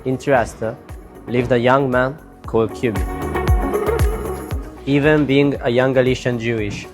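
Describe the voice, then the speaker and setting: A man with an Italian accent reading documentary narration in a steady, plain way. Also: medium pitch, unhurried, over loud music.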